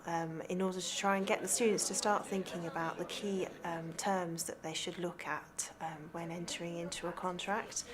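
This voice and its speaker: A woman workshop organiser explaining an activity in an interview, in a halting manner. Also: on the low side.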